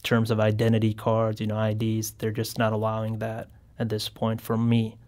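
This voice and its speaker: A young man from Bosnia, a guest on a radio interview, speaking haltingly with many fillers. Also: fairly low pitch, monotone, a little mellow. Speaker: guest, war survivor.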